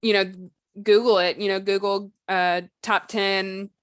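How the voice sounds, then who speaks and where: A woman's voice: medium pitch, flat delivery, measured pace, clean tone, no echo. A woman co-hosting a casual podcast discussion, talking in a conversational, loosely hedged way with "like" and "you know" sprinkled in. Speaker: podcast co-host.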